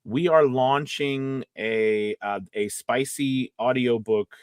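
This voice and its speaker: A man's voice: medium pitch, slow, smooth, no room sound. Man hosting live stream, dry close sound. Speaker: live stream host and voice-over coach. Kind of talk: casual live Q&A chat.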